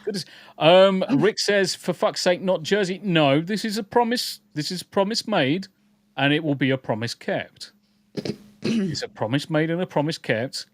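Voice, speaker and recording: A man's voice: a little high, no room sound. A man co-hosting a casual podcast livestream, joking and swearing freely while greeting viewers in chat. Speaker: podcast co-host.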